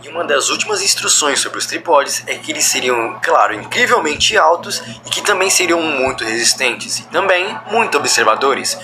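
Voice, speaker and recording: A man with a Brazilian accent giving explanatory commentary on a horror video in a wordy, roundabout way. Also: a little high. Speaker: video host. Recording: loud background music under the voice. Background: music.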